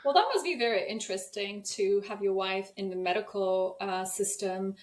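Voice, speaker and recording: A woman's voice: mid-range voice, moderate pace, roomy sound. A woman originally from Poland hosting a podcast interview, asking long, reflective questions. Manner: frequent listening murmurs, thoughtful questions. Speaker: podcast host.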